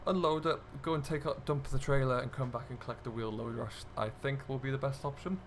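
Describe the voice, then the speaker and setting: Tractor engine noise sits under a man with a mid-pitched voice. He narrates his gaming role-play casually, chatting and thinking aloud at an easygoing pace as he goes. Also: fairly even intonation, fast. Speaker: gaming video host.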